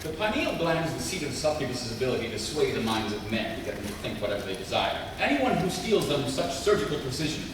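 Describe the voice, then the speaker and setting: Heroic male agent, played by an actor: earnest, commanding, quick, emphatic. Table read in reverberant, noisy hall. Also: medium pitch, sing-song, close to an everyday voice. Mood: stern, earnest. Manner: stiff, formal, emphatic heroic delivery. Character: square-jawed, earnest, commanding male secret-agent hero. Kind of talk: live table read of a TV script. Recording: echoey hall, distant, crowd noise.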